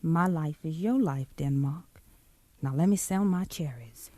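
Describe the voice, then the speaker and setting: An actress playing a brave, devoted cherry seller who keeps lookout for rebels, solemn, in a 1950s radio drama. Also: female voice, deep voice, unhurried, mellow, no echo. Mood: resolute, tender.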